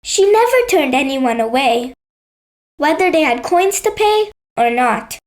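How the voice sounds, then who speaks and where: High-pitched, slow-paced woman storyteller. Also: big pitch swings. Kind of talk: scripted children's bedtime story narration.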